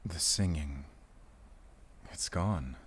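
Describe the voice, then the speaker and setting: A man playing a gruff, guarded but protective werewolf hunter with a German accent, speaking deliberately in a cinematic audio drama. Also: extremely low pitch, full character voice. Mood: grave, protective. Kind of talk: cinematic audio roleplay acting. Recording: music behind the voice.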